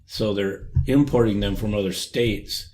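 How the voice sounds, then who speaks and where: An older man with a fairly low voice sits in as a podcast guest. He speaks bluntly and matter-of-factly, with dry remarks. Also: fairly even intonation, unhurried, a little mellow. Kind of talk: casual chat.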